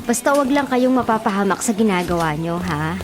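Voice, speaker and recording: A woman's voice: mid-range voice, expressive, a little fast, close to an everyday voice. An actress playing a caring, teasing young woman who questions her friend in a Filipino radio drama. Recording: radio drama under clear background music.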